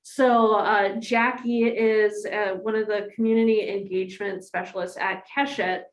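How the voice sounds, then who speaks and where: Halting woman rabbi hosting a class. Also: medium pitch, fairly even intonation, roomy sound, rough recording. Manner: halting, many ums and restarts, friendly. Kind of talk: casual introduction to a congregation.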